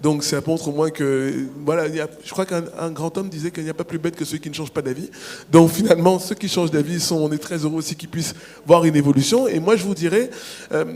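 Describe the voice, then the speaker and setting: A man from Cameroon, an author on a public panel, answering questions in a measured, explanatory way with frequent restarts. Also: a little high, darkish tone. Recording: long reverb from a large hall.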